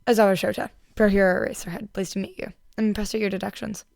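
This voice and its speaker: A dry, deadpan male teacher and hero, voiced by a woman in an audio story. He talks in short, formal phrases with a creaky edge. The sound is close and free of room. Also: medium pitch, fast talker, no room sound, lightly acted. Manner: terse, deadpan.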